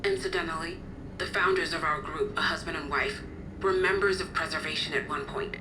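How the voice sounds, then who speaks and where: An actress voicing a composed, articulate resistance officer in a video game, explaining things formally and with measured care. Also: female voice, low-pitched, fairly even intonation, background noise, lightly acted. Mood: composed, informative. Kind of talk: video game cutscene acting.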